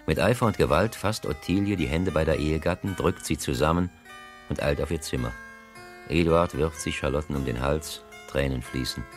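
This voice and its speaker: A man narrating a literary radio play as a solemn, measured storyteller. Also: a bass voice, soft background music. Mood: measured, dramatic. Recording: dry studio sound.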